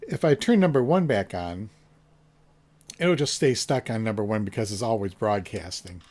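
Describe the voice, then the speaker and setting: A man's voice: on the low side, lively intonation, normal speed. A man giving a casual hands-on demonstration, musing and thinking aloud as he goes. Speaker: reseller reviewing a vintage find. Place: indoors, in a basement. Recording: faint background noise.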